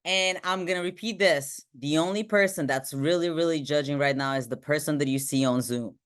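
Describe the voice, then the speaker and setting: Energetic woman, motivational speaker. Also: a little fast. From Bulgaria. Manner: energetic, tags nearly every point with "right?", swings between high and low pitch. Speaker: motivational speaker and team trainer. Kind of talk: motivational talk to an online group.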